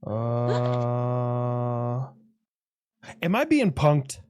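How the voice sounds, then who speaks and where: A man's voice: mid-range voice, big pitch swings, drawn-out words, darkish tone, smooth, no echo, clean studio sound. A man voicing India as a nation in a put-on Indian accent, making earnest, stumbling speeches.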